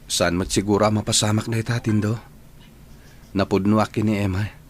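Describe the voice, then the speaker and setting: A man's voice: lowish voice, flat delivery, fairly quick, slight background noise, natural voice. An actor playing an earnest young man in love, with a Filipino accent, in an audio drama. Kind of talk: Ilocano-language audio drama acting.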